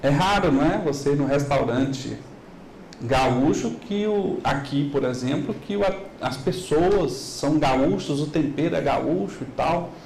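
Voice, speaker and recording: Middle-aged male lecturer with a Minas Gerais accent, lively and quizzing the audience; echoey hall with faint noise. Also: a little high, a little slow, gravelly. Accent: Minas Gerais Brazilian Portuguese. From Minas Gerais, Brazil. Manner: animated, asks the audience rhetorical questions. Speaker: business lecturer. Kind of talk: motivational business talk. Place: large hall.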